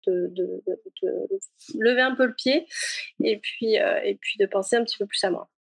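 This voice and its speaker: A woman's voice: mid-range voice, moderate pace, gravelly, husky, dry recording, rough recording. A woman in her forties answering interview questions hesitantly, with many fillers and frequent laughs. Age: 40s. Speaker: guest, a reader of the book.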